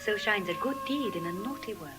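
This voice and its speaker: Woman acting witty noble lady, classical verse drama, articulate, theatrical, animated intonation. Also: mid-range voice, a little fast, music in the background, lightly acted. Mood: musing. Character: Portia, witty gracious noble lady of the house. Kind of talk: classical Shakespearean stage acting.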